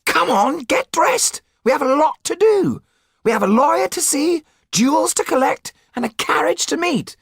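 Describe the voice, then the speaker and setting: A man voicing a weary, urgent little older male companion in an audiobook, with a high, croaky, husky squeak and deliberate delivery. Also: animated, fairly bright tone, dry recording, clean studio sound, heavily acted. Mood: urgent, bossy.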